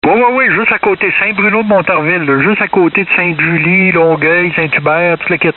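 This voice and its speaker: A man's voice: high voice, brisk. A man with a Quebec French accent chatting casually and colloquially as a ham radio operator, repeating himself as he goes, over a two-way radio link. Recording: tinny, hissy, distant two-way radio.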